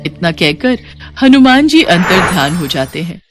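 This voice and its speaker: A woman's voice: fairly low pitch, sing-song, a little slow, over music. A woman storyteller giving scripted narration of a devotional moral tale.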